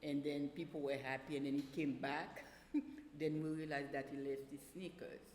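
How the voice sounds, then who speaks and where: A woman telling casual anecdotes in an animated way, laughing often and acting out what people said. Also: deep voice, moderate pace. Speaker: guest, teacher of small children. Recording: roomy echoing space with faint noise.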